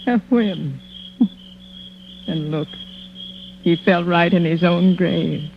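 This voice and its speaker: A woman playing a distraught, guilt-ridden older aunt in an old radio thriller, halting and sorrowful. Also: lowish voice, gravelly, exaggerated voice. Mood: sorrowful confession. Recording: dull, noisy vintage radio recording.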